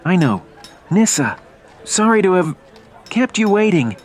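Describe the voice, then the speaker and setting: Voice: mid-range voice, sing-song, a little slow. An actor voicing a flustered, dreamy, apologetic young male elf in a fantasy audio drama. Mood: sheepish, easygoing.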